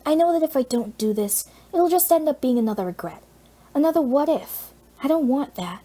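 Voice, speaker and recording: Lady doing a nervous eager teen boy for an audiobook, pitched up, earnest, kinda stammery. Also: animated, fairly quick, warm tone. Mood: earnest, pleading.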